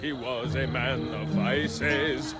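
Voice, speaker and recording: A male actor as a bad amateur minstrel in a comic game scene. He lilts verse slowly in a middle register. Loud music accompanies him. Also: medium pitch, steady tone, natural voice. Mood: earnest singing. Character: courteous Italian minstrel, bad amateur singer. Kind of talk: slow comic sung verse.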